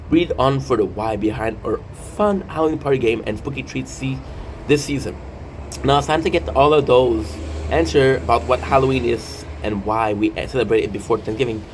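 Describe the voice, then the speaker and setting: A man in his thirties with a mid-pitched voice hosts a casual, rambling vlog. He is playful and often interrupts himself with jokey asides. There is clear noise behind his voice. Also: a little mellow. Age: 30s. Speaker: video host.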